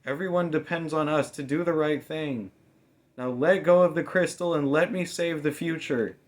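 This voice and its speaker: A man voicing a furious, menacing young female thief in an audiobook, snapping out forceful threats. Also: a little high, normal speed, voice pushed up, close to an everyday voice. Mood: urgent, forceful. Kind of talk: audiobook character dialogue.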